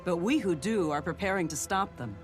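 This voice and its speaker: An actor playing a confident male assassin of a secret order in a fantasy video game, deliberate and coolly eager. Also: high voice, animated. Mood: confident, approving. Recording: prominent music behind the voice.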